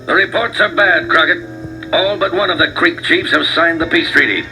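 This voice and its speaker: A commanding army general gives orders in a firm, fairly high voice, as a man acts him out. Music plays behind him in this storybook recording. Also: normal speed, natural voice, rough recording. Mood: stern. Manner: firm, authoritative. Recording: voice over music. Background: background music.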